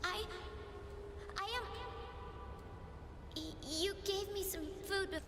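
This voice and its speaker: A woman's voice: very high-pitched, steady tone, slow, background noise. An actress playing an earnest young girl in a video game, a devoted disciple who speaks politely and hesitantly. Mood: pleading. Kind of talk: scripted game dialogue. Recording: long reverb under music. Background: music.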